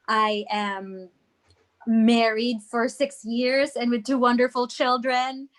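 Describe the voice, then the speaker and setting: Woman, high pitched, explains chatty w lots of examples, sometimes drops into tagalog. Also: level tone, slow pace. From Philippines. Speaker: employment advisor. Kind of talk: casual interview answers.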